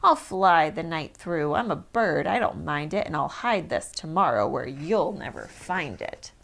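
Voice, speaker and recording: A woman voicing a gruff, mocking male eagle with a sneering manner. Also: low voice, expressive, measured pace, fairly bright tone, pitched below the performer's own voice, exaggerated voice.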